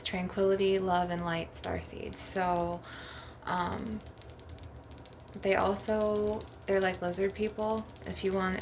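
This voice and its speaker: Creaky-voiced woman over loud noise and tapping. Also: mid-range voice. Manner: rambling, with frequent "like" and "you know". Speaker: ASMR channel host. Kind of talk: casual spiritual ASMR monologue to viewers. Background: crystal and glass tapping, clicks, background noise.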